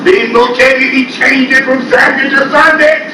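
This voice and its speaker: A man with a Guyanese accent preaching a call-and-response sermon, breaking into sudden shouts. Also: very high voice, steady tone, brisk. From United States. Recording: echoey room from far off, loud noise.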